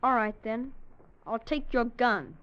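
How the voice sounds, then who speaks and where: A woman's voice: on the high side, a little slow, fairly bright tone. A woman playing a stoic, determined thirteen-year-old American ranch boy in a terse old-time radio western style. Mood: cold, determined. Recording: muffled, noisy old radio recording.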